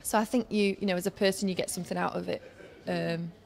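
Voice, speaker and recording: A woman with a broad Yorkshire accent gives an interview and talks earnestly in a steady flow. Her voice sits low with a gravelly edge. Her pitch stays flat. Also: fast talker. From Yorkshire, England. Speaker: interviewee, former Olympic organising staff. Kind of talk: casual interview answers.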